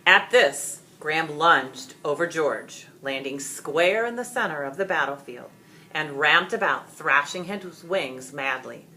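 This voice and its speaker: Low-pitched, slow, dramatic female storyteller. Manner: expressive, deliberate, theatrical. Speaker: storyteller reading a children's book aloud. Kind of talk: dramatic scripted narration.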